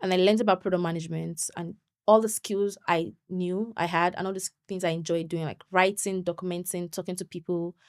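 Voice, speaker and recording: A young woman, a guest on an interview show, chatting in an animated way and laughing often. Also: lowish voice, no room sound, clean studio sound. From Nigeria. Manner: animated, laughs often, quick bursts when excited. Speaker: podcast guest. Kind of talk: conversational interview.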